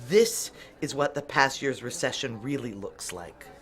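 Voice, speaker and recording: A man's voice: medium pitch, lively intonation, unhurried, soft background music, lightly acted. Man acting a tense, clipped noir narrator. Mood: urgent, deferential. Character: tense, determined security chief narrating a noir heist. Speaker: narrator and lead character. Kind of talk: first-person noir audio drama narration.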